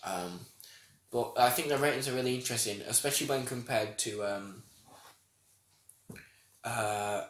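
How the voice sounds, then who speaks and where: A man with a nasal voice co-hosts a loose, rambling podcast chat, often breaking off and restarting his sentences. His pitch sits mid-range for a man, and the room around him rings with echo. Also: normal speed, pauses often, fairly bright tone. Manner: rambling, self-interrupting, laughs often. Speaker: podcast co-host. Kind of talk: casual chat. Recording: echoey room sound.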